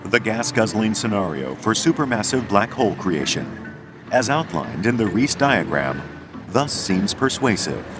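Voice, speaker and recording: A man reads scripted documentary narration in a low register. His delivery is measured and explanatory, with lively, varied intonation, and music plays clearly underneath.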